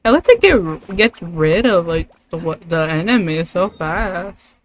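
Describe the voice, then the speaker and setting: A woman's voice: fairly low pitch, lively intonation, measured pace, a little hiss or hum. A woman livestreaming gameplay, chatting casually and thinking aloud in loose, rambling asides. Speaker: streamer.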